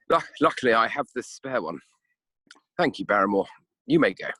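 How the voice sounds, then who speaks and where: A man playing a genial, easily flustered gentleman heir in a comic amateur radio play, husky and theatrical. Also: medium pitch, lively intonation, normal speed, no echo. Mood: puzzled.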